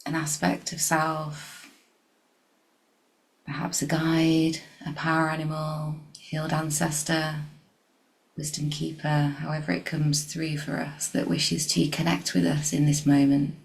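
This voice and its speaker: A woman guides a meditation very slowly, leaving long pauses between short phrases. Her pitch stays on the low side and quite level, with a gravelly fry in it. The delivery is soft and soothing, sometimes thinning toward a breathy whisper. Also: monotone, poor audio.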